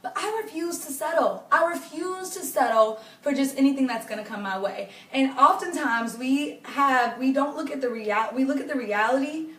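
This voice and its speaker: A young woman with a hoarse, raspy voice, chatting earnestly with viewers during a live stream from her home. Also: high-pitched, normal speed, hardly any pauses, fairly bright tone, recorded from a distance. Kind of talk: casual faith-based advice talk to viewers. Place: home living room. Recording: echoey room, voice off-mic.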